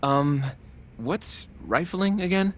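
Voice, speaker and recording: An actor playing an earnest, naive young rookie lawyer in a video game cutscene, polite, eager and a little hesitant. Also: male voice, high-pitched, lively intonation, slow, a bit bright, exaggerated voice. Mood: nervous, puzzled. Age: young adult.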